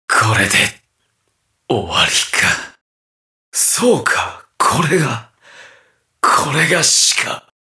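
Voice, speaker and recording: An actor playing a ruthless, mocking undead armored mercenary villain in a video game, with a taunting, theatrical delivery. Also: male voice, medium pitch, sharp tone. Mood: grim. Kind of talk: video game battle voice acting.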